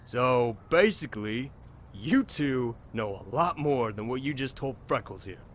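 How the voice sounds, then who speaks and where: Man playing a brash, animated young survivor. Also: medium pitch, unhurried, a little hiss or hum, lightly acted. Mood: suspicious, confrontational. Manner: confrontational, sarcastic, blunt. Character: brash, suspicious young male outbreak survivor. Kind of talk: audio drama acting.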